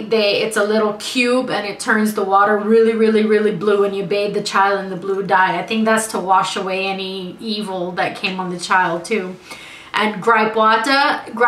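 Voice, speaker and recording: A young adult woman from Suriname, chatting to camera in a lively, talkative way, often laughing. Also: mid-range voice, distant mic. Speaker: vlogger. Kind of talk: casual chat to camera. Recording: echoey room.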